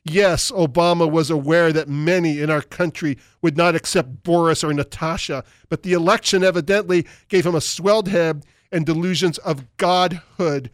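A man's voice: fairly high pitch, normal speed, few pauses, no room sound, studio quality. A man hosting a talk radio show, delivering opinionated commentary and reading listener emails aloud in an emphatic way. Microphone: studio microphone.

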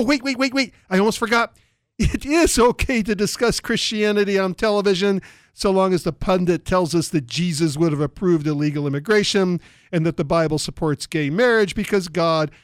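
A man's voice: high voice, no room sound, clean studio sound. A man delivering scripted opinion commentary, sarcastic and emphatic, full of rhetorical questions. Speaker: radio commentator.

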